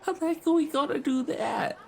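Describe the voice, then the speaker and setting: A man's voice: extremely high pitch, expressive, brisk, vocal fry, a little hiss or hum. A man playing a tabletop role-playing game, improvising in character with casual, slangy, sweary speech.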